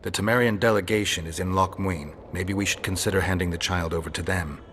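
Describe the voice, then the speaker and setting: A male actor playing a calm, cynical, world-weary monster hunter in a video game, talking in a measured, deadpan, wry way. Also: sits low, a little hiss or hum. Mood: measured persuasion. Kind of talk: dramatic video game acting.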